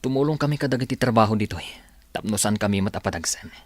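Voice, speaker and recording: A man's voice: on the low side, expressive, quick pace, no echo. A man playing an earnest, stubborn rebel fighter in an Ilocano radio drama. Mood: humble, firm.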